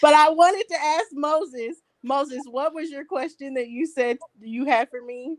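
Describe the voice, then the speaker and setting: A woman's voice: high voice, unhurried, a crowd in the background. A woman moderating a casual group discussion, friendly but directive as she manages who speaks.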